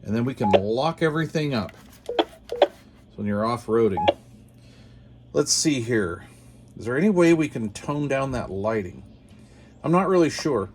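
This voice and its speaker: A man's voice: mid-range voice, slight background noise. A man hosting a casual product unboxing, talking informally and musing aloud in short phrases. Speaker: host of a radio gear channel.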